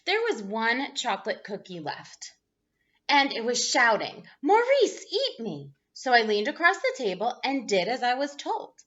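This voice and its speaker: Female storyteller for young children, high bright voice, dramatic delivery, slightly dull lo-fi recording. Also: sing-song, moderate pace. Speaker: teacher reading a picture book aloud. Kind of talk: animated children's read-aloud.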